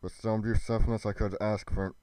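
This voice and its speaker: A man's voice: lowish voice, flat delivery, a little fast, warm tone, no echo, close to an everyday voice. A man playing a proud, defiant hero warrior in a fantasy game roleplay, with formal, declamatory delivery. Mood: musing. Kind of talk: theatrical fantasy roleplay acting.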